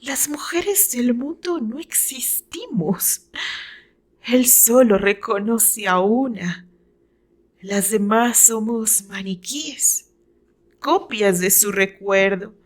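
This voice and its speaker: Woman acting a cruel, slow-taunting blonde rival. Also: fairly high pitch. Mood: bitter. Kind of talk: radio drama acting.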